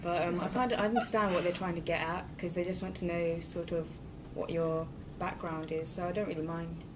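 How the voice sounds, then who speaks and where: Lower-voiced young woman, chatty and laughing. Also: fairly even intonation, a little fast. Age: young adult. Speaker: sixth form student. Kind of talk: candid group discussion. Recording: distant mic with noise.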